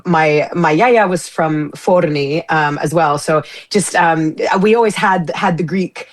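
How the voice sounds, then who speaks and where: A woman's voice: sits low, measured pace. A woman, a singer and stage performer, chatting as a guest on a radio interview in a bubbly, effusive way, full of fillers and restarts. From United States. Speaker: guest, opera singer and musical theatre performer. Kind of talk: casual interview chat.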